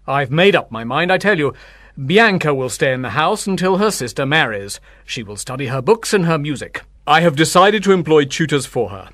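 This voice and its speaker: Stubborn, commanding father played by a man, slightly high voice, very dry sound, storybook drama. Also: very animated, normal speed, a bit bright, no echo. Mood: resolute. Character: firm, stubborn father of two daughters. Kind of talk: acted audiobook dialogue.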